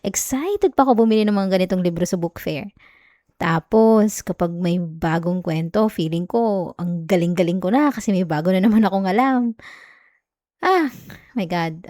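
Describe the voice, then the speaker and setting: Woman acting as a sweet clingy young filipino wife, soft coaxing asmr bedtime voice, super close and dry. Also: mid-range voice, no room sound, lightly acted. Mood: fond, reminiscing. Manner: soft, giggly, affectionate, coaxing. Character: sweet, clingy, teasing young wife soothing her partner. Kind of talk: intimate ASMR roleplay, bedtime chat and story reading. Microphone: close microphone, dry sound.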